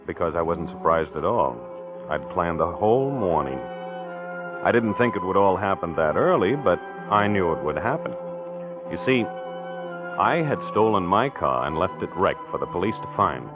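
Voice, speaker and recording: An American actor playing a jealous, obsessive wife-killer in a confessional, insistent 1940s radio mystery drama. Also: male voice, deep voice, animated, moderate pace, over music. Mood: smug, confessional. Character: jealous, obsessive man who murdered his wife, narrating his crime. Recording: dull vintage 1940s radio recording.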